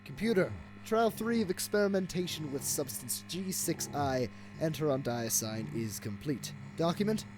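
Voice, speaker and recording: A man's voice: a little high, animated, normal speed, hardly any pauses, natural voice. A man playing a cold, gloating villain scientist in an audio drama, speaking in a clinical and haughty way. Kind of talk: fan audio drama acting. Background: loud dramatic music.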